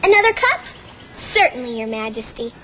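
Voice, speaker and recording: Nervous high-pitched servant, begging tone, hissy old track. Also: female voice, big pitch swings, moderate pace.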